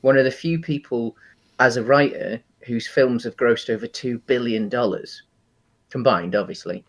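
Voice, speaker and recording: A man co-hosting a casual film discussion podcast, talking enthusiastically in a hesitant, halting, rambling way. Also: mid-range voice. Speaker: podcast host.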